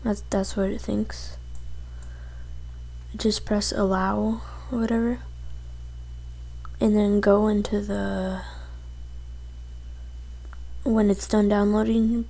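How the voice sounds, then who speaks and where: A woman presenting a casual software tutorial as she goes through the steps on a computer. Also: mid-range voice, flat delivery, takes it slowly, creaky, long pauses.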